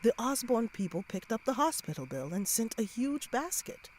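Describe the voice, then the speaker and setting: A woman's voice: a little high, big pitch swings, moderate pace, darkish tone, a little hiss or hum. A woman playing a worried, caring aunt who talks in an earnest, fretful way in a fan-dubbed motion comic. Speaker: Aunt May.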